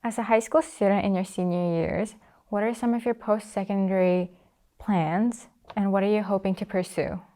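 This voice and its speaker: A teenage girl interviews a friend, reading prepared questions politely and at an even pace. Her voice is warm and mellow, at a middle pitch for a woman. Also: normal speed. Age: teens. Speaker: student interviewer.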